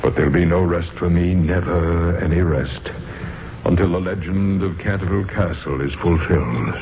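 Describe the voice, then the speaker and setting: A man's voice: deep voice, fairly even intonation, slight background noise. An actor playing a centuries-old, melancholy ghost of a nobleman in a 1970s radio drama, speaking in a grandiloquent, theatrical way. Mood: weary, yearning. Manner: grandiloquent, slow theatrical delivery. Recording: muffled, phone-like vintage radio broadcast with music.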